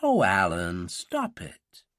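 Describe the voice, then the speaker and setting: A man's voice: sits low, wildly swooping pitch, slow pace, a bit bright, dry recording, studio quality, voice pushed down, full character voice. A man voicing a friendly, enthusiastic young American woman student. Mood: reproving.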